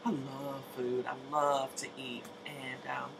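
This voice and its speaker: Woman, late 30s, deep voice, slow and halting, tired, lots of ums, noisy home recording. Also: little pitch movement, creaky. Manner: soft-spoken, hesitant, many ums and restarts. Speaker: vlogger. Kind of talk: casual personal update to camera.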